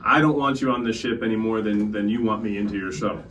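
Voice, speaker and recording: A man playing a firm, formal and deliberate starship captain in a tabletop game. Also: on the low side, a bit bright, roomy sound, close to an everyday voice. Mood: coolly insistent. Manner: deliberate, formal, coolly insistent. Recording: distant mic with clear background noise.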